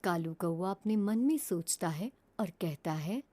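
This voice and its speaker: A woman narrating a children's cartoon moral story in a lively storytelling way. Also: on the low side, a little slow.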